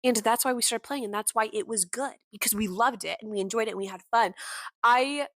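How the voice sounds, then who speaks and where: A young woman hosting her own podcast, with a warm voice that is deeper than most women's, talking candidly and with passion, full of "like" fillers and the odd swear word. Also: fairly quick, dry recording, studio quality. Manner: candid and impassioned, with frequent "like" fillers and mild swearing. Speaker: podcast host. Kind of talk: casual personal monologue.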